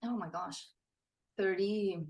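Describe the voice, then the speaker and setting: A woman's voice: mid-range voice, unhurried, darkish tone. A woman from Costa Rica, a podcast guest and author, telling her story in a casual interview with frequent laughs. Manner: earnest storytelling, laughs often.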